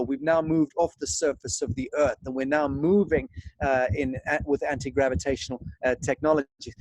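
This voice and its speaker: A middle-aged man from Zimbabwe speaks as an interview guest, earnest and halting, with grandiose wording. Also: fairly high pitch, no echo. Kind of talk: spiritual monologue in a video interview. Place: large open room with thatched roof. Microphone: video call, device at a short distance. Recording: noisy video call audio with clicks.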